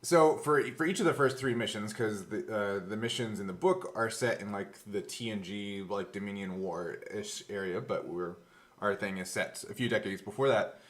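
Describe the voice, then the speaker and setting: A man's voice: lowish voice, normal speed, fairly bright tone. A man co-hosting a casual behind-the-scenes chat, explaining things in a rambling, nerdy way with some profanity. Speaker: podcast co-host and game master.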